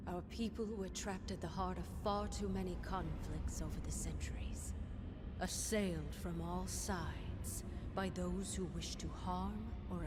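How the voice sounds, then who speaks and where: Imperious game queen: stern, formal, unhurried, music underneath. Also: on the low side. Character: regal, stern queen of a hidden superhuman people. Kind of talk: scripted video game acting.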